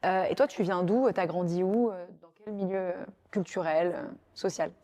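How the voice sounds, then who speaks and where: A woman's voice: fairly low pitch, normal speed, dark tone. A young woman hosting a podcast, asking questions casually and informally with short approving interjections.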